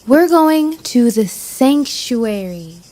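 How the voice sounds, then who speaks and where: A woman playing a gentle, cautious, bookish runaway poet in an apocalyptic western audio drama, soothing and hesitant. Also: fairly high pitch, lively intonation, very slow. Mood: hopeful, dreamy. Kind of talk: live-streamed audio drama acting.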